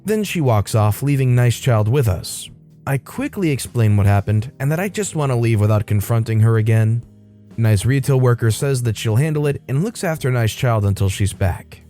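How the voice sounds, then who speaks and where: Mellow-voiced man reading a Reddit story. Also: medium pitch, expressive, normal speed, faint music behind. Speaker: story narrator. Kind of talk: reading a Reddit post aloud.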